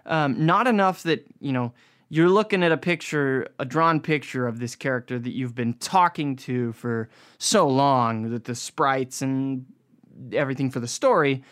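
A man's voice: medium pitch, moderate pace, fairly bright tone, smooth. A young American man hosting a podcast, giving a casual, rambling, self-deprecating solo review in informal language. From United States. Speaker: podcast host.

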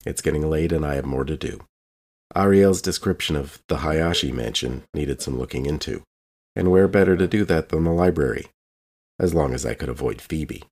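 A man playing a brooding, solitary character in a measured, reflective first-person scripted monologue for a tabletop game. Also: a bass voice, a little mellow. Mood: brooding, wistful. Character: brooding, solitary male bell-tower dweller, wistful narrator.

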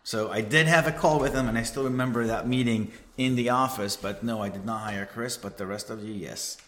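A middle-aged man from Israel with an Israeli accent, a guest in a casual podcast chat who talks in a lively, emphatic way. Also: mid-range voice, fairly quick, slight background noise.

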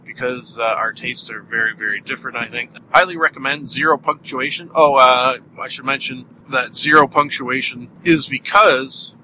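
Bright-voiced Canadian man rambling. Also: mid-range voice, normal speed, hardly any pauses, a little hiss or hum, rough recording. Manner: rambling, many ums and uhs, chuckles. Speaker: podcast host. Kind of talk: casual solo podcast chat.

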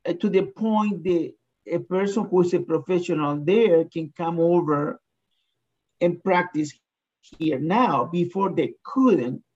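A man's voice: sits high, unhurried, darkish tone. Earnest man, lo-fi sound. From Chile. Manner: earnest, reflective. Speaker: discussion participant. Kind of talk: sharing a personal opinion.

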